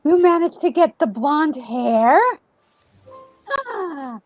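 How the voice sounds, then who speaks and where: A woman giving soft, casual reactions as she plays through a game. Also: high-pitched, lively intonation, takes it slowly, dry recording, highs cut off. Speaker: gaming streamer. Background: music.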